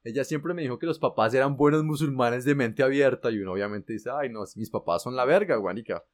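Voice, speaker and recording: A man's voice: medium pitch, a little fast. A man with a Colombian accent, animatedly telling a personal story in casual, slangy chat. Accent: Colombian Spanish. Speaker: guest telling his story.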